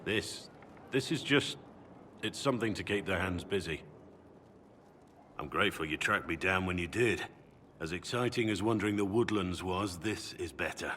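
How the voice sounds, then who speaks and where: A man's voice: low-pitched, expressive, moderate pace, fairly bright tone, slight background noise. An actor playing a humble older male warrior who speaks modestly and earnestly.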